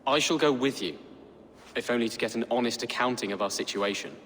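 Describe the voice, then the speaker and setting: A man's voice: mid-range voice, a little fast, fairly bright tone, big-room sound, slight background noise, lightly acted. An actor playing a wary, dry-witted man of a war band in a video game, speaking skeptically. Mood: dry distrust. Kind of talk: dramatic video game acting.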